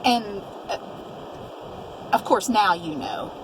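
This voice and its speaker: Casting: middle-aged woman, conversational interviewer, medium pitch, slight background noise on the recording. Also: animated, takes it slowly. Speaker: host, mother interviewing her daughter.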